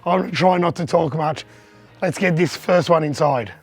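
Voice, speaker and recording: A hoarse man who has lost his voice, giving a chatty, upbeat vlog tour of his arcade collection. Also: on the high side, normal speed, loud music behind the voice. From Australia. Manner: chatty, upbeat, pointing things out.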